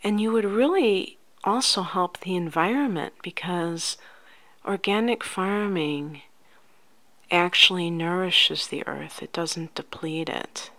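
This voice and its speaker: Slow, soft-spoken ASMR woman. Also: fairly low pitch. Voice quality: soft, often near-whispered. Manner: gentle, unhurried, soothing, addressing the listener directly. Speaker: ASMR host playing a life coach.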